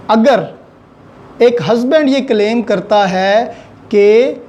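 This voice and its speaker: A law lecturer with a Pakistani accent explains with examples in deliberate, halting phrases, mixing in English legal terms. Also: mid-range voice, dark tone.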